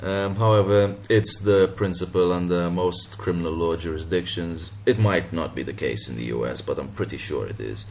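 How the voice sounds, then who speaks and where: A man from Malta, a native Maltese speaker, with a deep voice, talking casually and sardonically in a response video, laughing and swearing as he goes. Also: moderate pace, hardly any pauses. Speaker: boxing channel vlogger.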